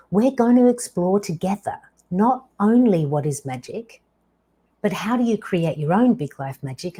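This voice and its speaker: A woman in her fifties hosting her own show, telling a personal story slowly and deliberately, with dramatic pauses. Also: medium pitch, mellow, breathy edge. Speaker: podcast host.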